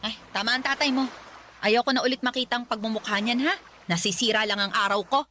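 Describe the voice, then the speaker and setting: A woman's voice: fairly high pitch, fairly even intonation, fast, noisy background, lightly acted. An actress playing a scornful, middle-aged disapproving mother, snappish and insulting, in a Tagalog radio drama. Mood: scornful, irritated.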